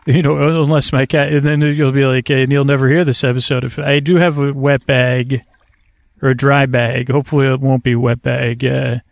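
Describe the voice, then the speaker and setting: Creaky-voiced man rambling soothingly. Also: mid-range voice, little pitch movement, dry recording. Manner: meandering, tangent-prone, halting with self-corrections; soothing, lulling delivery. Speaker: podcast host. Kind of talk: rambling sleep-podcast monologue. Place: outdoors, in a kayak on a river.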